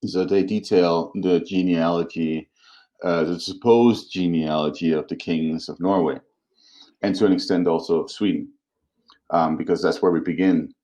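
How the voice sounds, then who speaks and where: A man talking as an academic podcast co-host, explaining things informally in a halting, thoughtful way. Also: sits low, steady tone, mellow.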